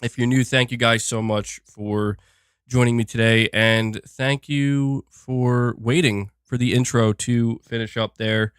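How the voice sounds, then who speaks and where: A man's voice: medium pitch, fairly even intonation, a little slow, clean tone, no room sound, clean studio sound. A man hosting a gaming livestream, chatting casually while sick and a bit nasal, rambling with fillers and restarts. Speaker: gaming channel host.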